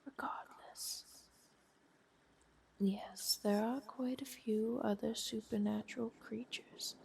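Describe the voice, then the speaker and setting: A woman voicing a refined, haughty ancient vampire countess in an ASMR roleplay, speaking in a soft, breathy half-whisper with poised, wry, formal phrasing. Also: on the high side, steady tone, slow, heavily acted. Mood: wry, patient. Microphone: close binaural microphone. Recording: close binaural mic with clear hiss.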